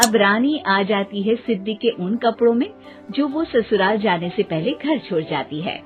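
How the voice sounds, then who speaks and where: A woman's voice: mid-range voice, lively intonation, moderate pace, soft background music. A woman narrating a scripted family story for a cartoon, in lo-fi audio.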